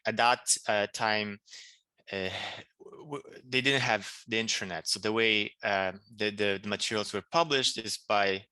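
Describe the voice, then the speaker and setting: A man from Poland with a Polish accent, answering interview questions in a halting way with many restarts. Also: lowish voice, bright, forceful tone, clean tone, no room sound. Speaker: political candidate.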